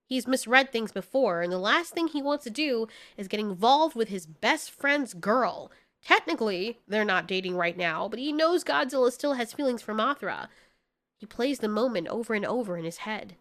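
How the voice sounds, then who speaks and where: A woman's voice: fairly high pitch, expressive, normal speed, fairly bright tone. A woman storyteller giving dramatic scripted narration in an informal, candid way.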